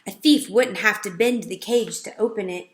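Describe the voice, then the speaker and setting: A woman's voice: mid-range voice, normal speed, natural voice. A woman voicing a thoughtful, earnest young boy camper who talks things through. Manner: earnest, reasoning tone. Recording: roomy echo.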